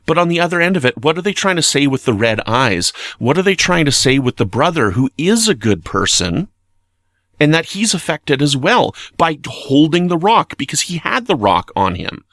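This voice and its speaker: A man giving opinionated, conversational podcast commentary in an earnest, emphatic way, sometimes halting as he reasons aloud. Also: a little high, sing-song, fairly bright tone, dry recording, clean studio sound. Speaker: podcast commentator reviewing a TV episode.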